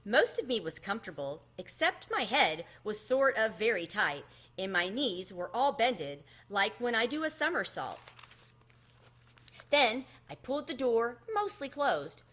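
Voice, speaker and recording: A woman reading a children's book aloud expressively, in an animated storytelling manner. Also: a little high, unhurried. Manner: animated, acts out the dialogue.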